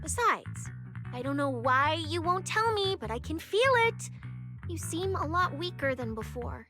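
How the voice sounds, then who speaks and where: A woman playing a caring, anxious young female specialist in an expressive anime game style. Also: sits high, a little slow, heavily acted. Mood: worried, scolding. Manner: expressive, emotive. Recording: game music behind voice.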